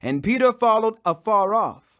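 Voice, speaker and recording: A man's voice: high voice, animated, normal speed, bright, forceful tone. A man reading scripture as an audiobook narrator, solemn and emphatic, declaiming the quoted speech. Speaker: audiobook reader.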